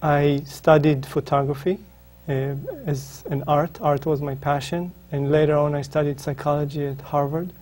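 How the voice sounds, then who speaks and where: Hesitant Israeli man, dark and flat. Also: a little high, moderate pace, few pauses. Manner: hesitant, many ums and restarts. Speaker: author, interview guest. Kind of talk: reflective interview answers.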